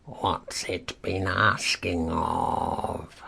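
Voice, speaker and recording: A man voicing a very old, grumbling frog in a storybook, with a deep, hoarse, croaky voice and a slow, muttering rustic drawl. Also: huge pitch swings, pushed and bright, exaggerated voice. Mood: grumbling, sluggish. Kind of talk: dramatized audiobook character acting.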